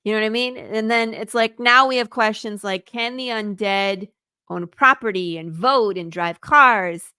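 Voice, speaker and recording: Bright, clear Midwestern woman. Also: medium pitch, unhurried, dry recording, studio quality. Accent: Midwestern American. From Michigan. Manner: chatty, laughs often, explains eagerly. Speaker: comic creator guest. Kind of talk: casual interview chat.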